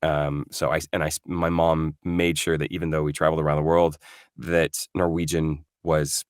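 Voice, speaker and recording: A man's voice: a bass voice, little pitch movement, normal speed, smooth, dry recording, clean studio sound. A man from Norway, a voice actor guest, chatting in an interview, thoughtful and full of fillers and restarts. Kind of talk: casual interview chat.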